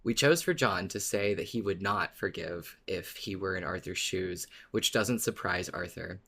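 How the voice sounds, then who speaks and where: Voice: sits low, normal speed, a bit bright. A podcast co-host talking informally and expressively about a story, as a fan would. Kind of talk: casual fan discussion, partly reading a written recap.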